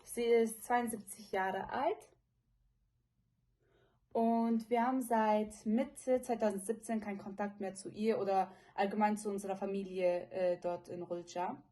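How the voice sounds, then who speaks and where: A young woman, about eighteen, gives a personal testimony in her own unscripted words. Her voice is mellow and sits at a medium pitch for a woman. She speaks earnestly and informally, often halting to find the next phrase. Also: steady tone. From from China, living in Germany since childhood. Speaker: witness giving testimony about a detained relative.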